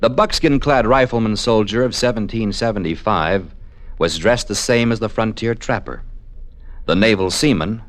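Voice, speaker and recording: A male announcer reading a scripted radio piece in a smooth, even manner. His voice sits somewhat low. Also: normal speed.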